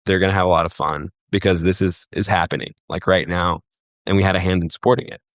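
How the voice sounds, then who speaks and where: A man's voice: low voice, steady tone, quick pace, fairly bright tone, dry recording, studio quality. A young man from Egypt talking casually as a podcast guest, rambling with many fillers and restarts. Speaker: guest, festival booker.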